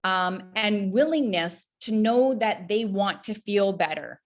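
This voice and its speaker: A woman's voice: medium pitch, animated, slow. A woman from Canada, a health coach guest in an interview, talking earnestly with many fillers and restarts. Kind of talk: casual interview talk.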